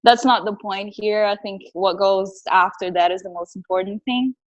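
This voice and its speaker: A woman's voice: mid-range voice, normal speed, highs cut off. A woman from Brazil with a Brazilian Portuguese accent, speaking as a podcast guest and earnestly recounting her personal journey. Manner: earnest and reflective. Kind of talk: casual interview, personal storytelling.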